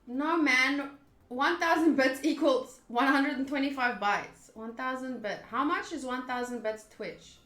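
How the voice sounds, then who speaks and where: A young woman streaming a game, talking to chat in a playful, animated, jokey way. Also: high-pitched, a little slow, echoey room. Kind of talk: casual livestream reaction.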